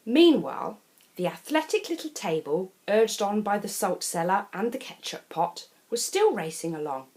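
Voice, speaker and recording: Animated woman reading a storybook. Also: mid-range voice, normal speed, low-quality recording. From England. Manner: theatrical, chatty asides to the young listener. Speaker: storyteller reading a children's picture book. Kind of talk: expressive children's storybook narration.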